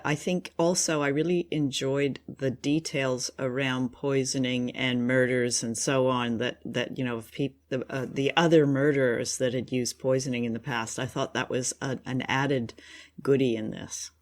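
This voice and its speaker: Effusive review show co-host, mid-pitched voice. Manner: appreciative, effusive. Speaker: co-host of an old-time radio review show. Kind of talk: casual review chat.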